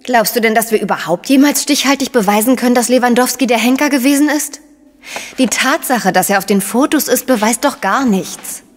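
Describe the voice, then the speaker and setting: An actress playing a sharp, sardonic female investigator, questioning and pointed, in a crime radio play. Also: a little high, brisk, big-room sound, lightly acted. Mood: probing.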